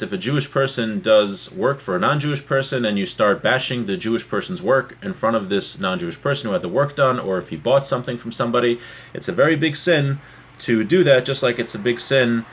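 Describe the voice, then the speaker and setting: A man speaks as a religious teacher in an informal lesson. His voice sits at an average male pitch, and he explains the text in a plain, conversational manner, adding asides of his own. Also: a bit bright. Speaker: religious teacher giving a class.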